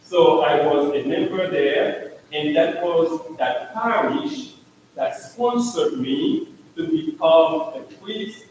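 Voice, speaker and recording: A middle-aged man from Haiti, speaking with a Haitian accent, introduces himself to his congregation as their priest, talking slowly and haltingly with many restarts. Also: on the high side, expressive, darkish tone, slight background noise. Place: large church hall. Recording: echoey church hall from far off.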